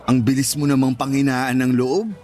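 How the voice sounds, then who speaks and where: A man's voice: medium pitch, little pitch movement, moderate pace, a little mellow, natural voice. A man playing a teasing, blunt young co-worker and best friend in a radio drama, joking and scolding as he talks. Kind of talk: Tagalog radio drama acting.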